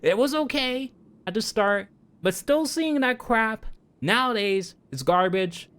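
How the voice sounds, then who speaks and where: A man's voice: high-pitched, animated, unhurried, fairly bright tone, clean tone. A man chatting casually and informally as a game livestreamer, rambling with opinionated, exasperated asides. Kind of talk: casual rambling stream chat about games. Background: background music.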